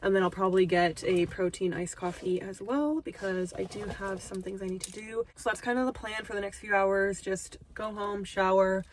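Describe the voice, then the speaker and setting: A young woman with a nasal, stuffed-up voice chats casually into a handheld phone, drifting from her car to a walking trail outdoors. Her pitch sits in a middle range, and she slips into a sweeter, higher tone whenever she speaks to her dog. A faint hiss runs under the recording. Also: fairly even intonation, normal speed, few pauses. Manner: chatty, self-deprecating, talks in a high sweet voice to her dog. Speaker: vlogger. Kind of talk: casual vlog chat.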